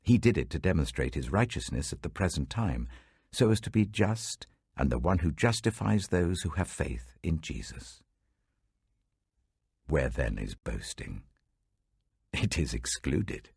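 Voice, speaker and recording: A man giving a measured, dramatic reading of Bible passages in a close studio recording. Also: gravelly, breathy edge, dry recording. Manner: measured, dramatic, lively pitch shifts. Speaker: Bible reader. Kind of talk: expressive scripture reading.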